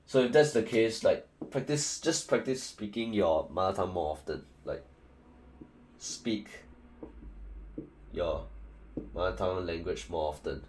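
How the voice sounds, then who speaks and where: A man's voice: deep voice, unhurried, long pauses, darkish tone, rough recording. A young man from Singapore with a Singaporean English accent, giving casual, rambling study advice full of fillers and restarts. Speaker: student tutor.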